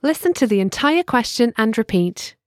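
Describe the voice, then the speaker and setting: A woman narrating a scripted language lesson, giving clear, measured instructions. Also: fairly high pitch, big pitch swings, no echo, clean studio sound.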